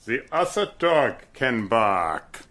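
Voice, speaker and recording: An actor playing a brutish, contemptuous army colonel in a radio drama, bullying and mocking those around him. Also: male voice, medium pitch, big pitch swings, slow, fairly bright tone. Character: brutish, sneering German army colonel, middle-aged.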